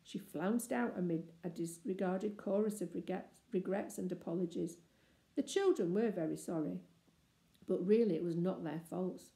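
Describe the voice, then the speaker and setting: A woman reading a children's novel aloud in expressive, scripted narration. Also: on the low side, moderate pace, creaky. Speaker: storybook reader. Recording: echoey, roomy sound.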